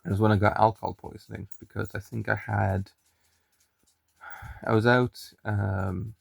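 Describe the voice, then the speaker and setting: Young man, mild Irish accent, rambling vlog chat, deep creaky voice. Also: level tone, a little slow, no echo. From Ireland. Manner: rambling, chatty, frequent restarts. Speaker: daily vlogger. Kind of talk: casual chat to camera.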